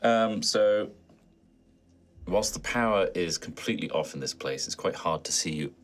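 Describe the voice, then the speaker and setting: A man's voice: sits low, lively intonation, normal speed. A man acting as game master, narrating a tabletop roleplaying game and guiding the players.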